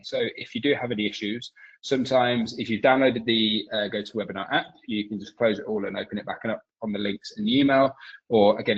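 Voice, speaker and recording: A man's voice: fairly low pitch, slightly rough. A man opening a webinar over a video call, chatty and loose, with plenty of ums. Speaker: webinar co-host. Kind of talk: casual webinar housekeeping. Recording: dull lo-fi web call audio.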